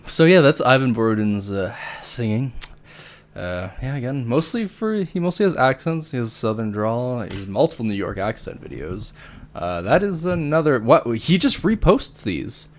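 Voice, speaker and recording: A man co-hosting a casual podcast, mocking his subject with a deadpan delivery. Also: medium pitch, moderate pace. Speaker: podcast co-host. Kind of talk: casual chat.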